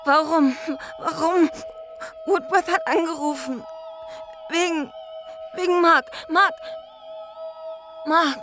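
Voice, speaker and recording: An actress playing a confused, frightened woman waking in a strange place in a radio drama, halting and repeating words. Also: very high voice. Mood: confused, distressed. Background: music.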